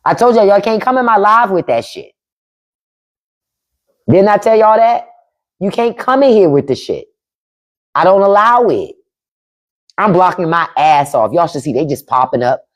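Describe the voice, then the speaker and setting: Blunt, profane woman with a lively voice. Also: medium pitch, normal speed, long pauses. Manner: blunt, profane, emphatic, reads and answers chat. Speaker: podcast host on a live stream. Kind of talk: casual livestream commentary.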